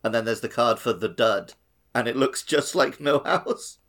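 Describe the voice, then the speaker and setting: A man's voice: medium pitch, normal speed, a bit bright. A man chatting casually as co-host of a podcast. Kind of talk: casual chat.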